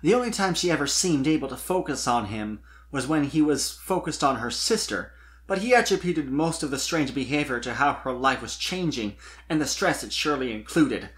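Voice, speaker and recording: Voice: mid-range voice, animated, moderate pace. A narrator reading fan fiction aloud as a dramatic scripted story.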